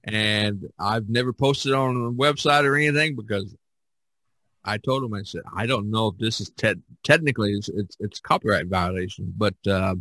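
A man hosting a casual podcast discussion as an artist, speaking haltingly with repeated false starts. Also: fairly low pitch, pushed and bright, dry recording.

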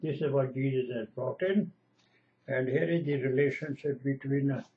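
An older man, a retired lecturer whose first language is Punjabi, slowly and earnestly expounding scripture at home. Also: medium pitch, slight background noise. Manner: slow, earnest, lecturing, repeats lists of divine names. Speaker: retired lecturer giving Bible exposition. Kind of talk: home-video scripture teaching. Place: small room indoors at home. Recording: dull lo-fi webcam audio.

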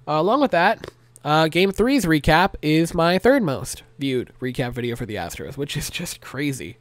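A young man with a stuffy nose recapping a game for his channel, listing stats and giving his fans an animated pep talk from a small room at home. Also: fairly high pitch, moderate pace, no echo. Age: young adult. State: congested, nasally stopped up. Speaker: sports recap youtuber. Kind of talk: solo game recap vlog. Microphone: large desk mic close to mouth.

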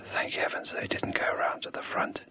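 An actor playing a steady, wry police officer in a vintage radio drama, speaking tersely. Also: male voice, brisk, whispered. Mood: tense, hushed.

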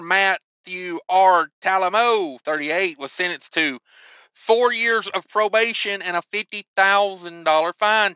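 A man's voice: sits high, sharp tone, no echo. A man in his thirties hosting a trucker news show on a headset mic, reading stories with wry grumbling asides. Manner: reads headlines, then drops into wry grumbling asides. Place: small room, indoors. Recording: dry, slightly dull headset audio.

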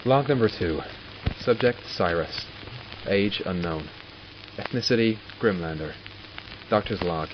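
A man's voice: low-pitched, measured pace, long pauses. Man playing an exasperated doctor, muffled noisy recording. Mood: clinical, detached. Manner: clinical, sardonic, self-talking. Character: arrogant, exasperated male doctor studying supernatural captives. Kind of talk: audio drama acting. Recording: muffled, with loud background noise.

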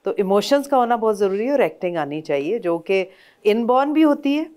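A woman's voice: medium pitch, very animated, a little fast, mellow. A woman in her fifties, an actress guest, explaining thoughtfully on a TV talk show. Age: 50s. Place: TV studio.

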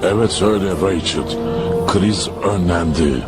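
A man voicing a heroic character in a dubbed animated action series. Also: sits low, a little slow. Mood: calm, reassuring. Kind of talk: dubbed cartoon dialogue. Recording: very loud music over the dialogue.

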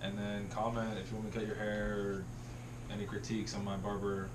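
A young man barber answering questions in a halting, hesitant way in a small bathroom. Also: lowish voice, monotone, noisy background. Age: young adult. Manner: hesitant, halting, laughs often. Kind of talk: casual interview answers.

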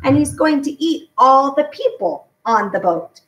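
A woman's voice: sits high, lively intonation, a little slow, highs cut off, voice pushed up. An earnest young storybook girl, as a woman reader plays her, speaks out with dramatic, emphatic indignation. The recording is echoey and a little distant from the mic. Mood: indignant. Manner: exclaiming, emphatic.

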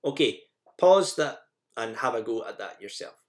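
A man's voice: mid-range voice, lively intonation, normal speed. A man presenting a language lesson in an informal, instructional manner, guiding learners through slides and examples.

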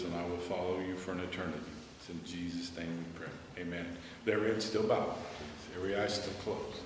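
A man's voice: on the low side, noisy background. Middle-aged American man, pastor, emphatic sermon at a pulpit, heard from a distance with long reverb. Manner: earnest, emphatic preaching, softening to a hushed prayer. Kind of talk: sermon and altar call. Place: church sanctuary, standing at a pulpit. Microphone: headset mic. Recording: echoey church hall, from a distance.

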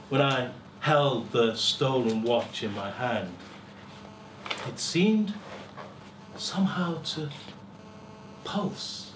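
A middle-aged man giving a dramatic, deliberate first-person story reading, with pauses and swelling emphasis. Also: mid-range voice. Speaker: story reader at a live festival. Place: small lecture room with seated audience. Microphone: far camera. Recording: roomy, distant camera mic, faint hiss.

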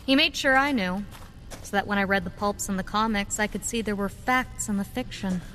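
An actress playing a spirited, curious young nurse and vigilante in an audio drama, with a wry, frank manner. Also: female voice, medium pitch, a little fast, fairly bright tone, soft background music, natural voice. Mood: earnest, reminiscing.

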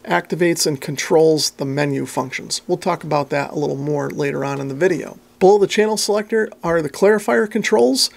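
A middle-aged man walks through a piece of gear as a reviewer. His voice sits a little higher than most men's and has a strong gravelly fry. He explains things step by step in a plain-spoken, methodical way. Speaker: radio reviewer and presenter. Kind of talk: product overview and demonstration.

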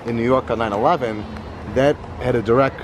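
A man's voice: mid-range voice, steady tone, a little fast. An enthusiastic American man in his twenties chatting and rambling casually as a travel vlogger. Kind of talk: casual vlog chat. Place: walking around a resort, mostly outdoors. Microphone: handheld camera at arm's length. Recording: very noisy outdoor resort crowd and traffic.

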